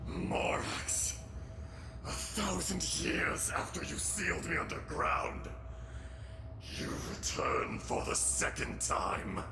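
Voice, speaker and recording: Gravelly, vengeful ancient dragon. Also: male voice, a little high, huge pitch swings, takes it slowly, roomy sound, noisy background, heavily acted. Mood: bitter scorn. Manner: theatrical, scornful. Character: vengeful sealed ancient dragon, male, bitter and proud. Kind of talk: dramatic video game voice acting. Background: game music.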